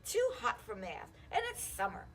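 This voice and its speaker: A woman's voice: mid-range voice, huge pitch swings, normal speed, fairly bright tone. A woman voicing a grumpy, lazy young boy in a storybook, offhand and whiny. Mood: indifferent.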